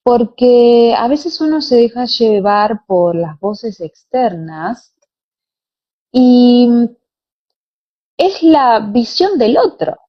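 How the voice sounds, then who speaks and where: A woman's voice: fairly high pitch, big pitch swings, drawn-out words. A young woman with an Argentine Spanish accent, answering conversationally as an interview guest. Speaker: interview guest, pilates teacher and former dancer. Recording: dull, lo-fi online call audio.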